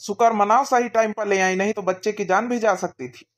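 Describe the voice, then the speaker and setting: Woman acting as village doctor, calm, Awadhi accent, cartoon comedy, mid-range pitch. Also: fairly quick, lightly acted. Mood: reassuring. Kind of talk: rural cartoon comedy dialogue.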